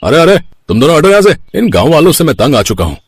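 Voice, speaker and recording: A man voicing a gruff older airport guard in a cartoon story, scolding and dismissive. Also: on the high side, very animated, fast talker, slight background noise, voice pushed up. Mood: irritated, contemptuous.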